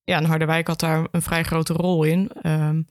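A woman's voice: low-pitched, little pitch movement, moderate pace, no room sound, clean studio sound. A woman explaining informally as an interview guest, with some hesitation. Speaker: archive education officer, podcast guest.

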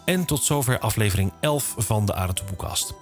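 Deep-voiced male podcast host. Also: animated, fairly quick, faint music behind. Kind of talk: podcast hosting.

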